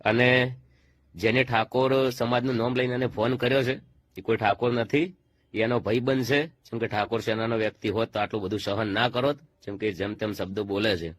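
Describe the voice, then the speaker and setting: A man's voice: mid-range voice, steady tone, moderate pace, dry recording. A man with a Gujarati accent presenting an informal commentary to viewers in a disapproving manner. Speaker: video presenter. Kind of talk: informal commentary on a call recording.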